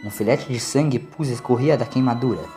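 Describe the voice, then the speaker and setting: A man's voice: medium pitch, a little fast, mellow. A man narrating a scripted dramatic story. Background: faint music.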